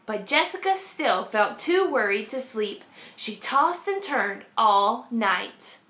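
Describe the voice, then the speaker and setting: Animated woman reading a storybook aloud. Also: on the high side, takes it slowly. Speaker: kindergarten teacher. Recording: echoey room.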